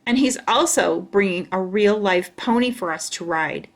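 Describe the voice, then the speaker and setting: A woman voicing a mean, bragging young schoolboy in a children's storybook, loud and taunting. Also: medium pitch, moderate pace, lightly acted. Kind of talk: children's storybook read-aloud.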